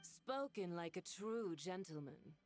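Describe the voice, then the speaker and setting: A woman's voice: on the low side, animated, slow. A woman voicing an elegant, haughty, teasing noblewoman villain in a video game, poised, arch and sly.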